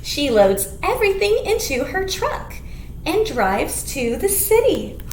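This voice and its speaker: A woman presents a children's storytime in a very high, sing-song voice. Her pitch swoops widely and she takes her time, phrasing simply for little listeners. The room sounds echoey. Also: sing-song, slow pace, a little mellow. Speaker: children's librarian hosting storytime. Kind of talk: children's storytime read-aloud with songs and rhymes. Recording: echoey room, clear background noise.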